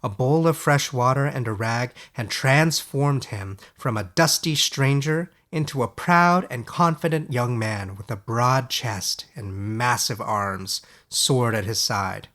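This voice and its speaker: A man reading scripted fairy-tale audiobook narration in a measured storytelling manner. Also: mid-range voice, a bit bright.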